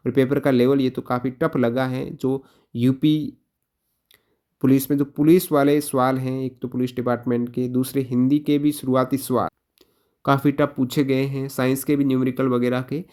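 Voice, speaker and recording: A man's voice: medium pitch, flat delivery, normal speed, darkish tone. A man teaching an exam-prep lesson question by question, posing questions and repeating key answers in an instructional way.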